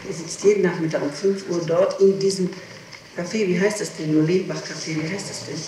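A woman answering questions in an interrogation, haltingly, often repeating and breaking off her phrases, on an old tape recording. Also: lowish voice, fairly even intonation. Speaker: person being questioned by police. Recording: distant, echoey, noisy 1960s tape recording.

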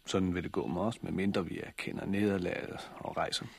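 An actor in a 1960s radio drama playing a brooding, stubborn husband and farm leader, terse and understated. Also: male voice, sits low, fairly even intonation, vocal fry, husky, no room sound, exaggerated voice.

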